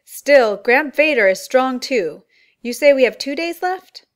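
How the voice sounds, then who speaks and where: A woman playing a wise, calm male mentor in a family radio play, measured, reassuring and formal. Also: high-pitched.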